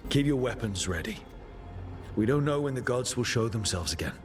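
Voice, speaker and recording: A man voices a determined hero leading a band in a fantasy game. His mid-pitched voice is firm and plain-spoken, with faint music behind it. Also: lively intonation, normal speed, lightly acted. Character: resolute heroic male adventurer leader. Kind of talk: video game acting.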